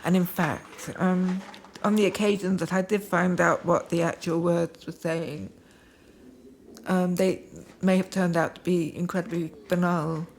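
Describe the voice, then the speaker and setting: A woman speaking reflectively and haltingly in a documentary, with deliberate pauses. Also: fairly low pitch, fairly even intonation, slight background noise. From England. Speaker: deaf poet. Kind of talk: reflective spoken documentary, with read poetic lists.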